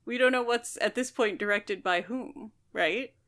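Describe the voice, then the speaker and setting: A woman's voice: mid-range voice, a little slow, a bit bright. Analytical woman co-hosting a casual book podcast. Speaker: podcast co-host.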